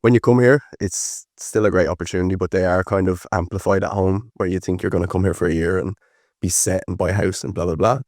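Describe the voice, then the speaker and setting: A young man with an Irish accent talks easily as a podcast guest, wandering through his answers with a steady stream of fillers. His voice sits very low, and the sound is dry and close. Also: fast, no echo. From Ireland. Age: 20s. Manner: relaxed, rambling with frequent fillers. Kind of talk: casual interview chat.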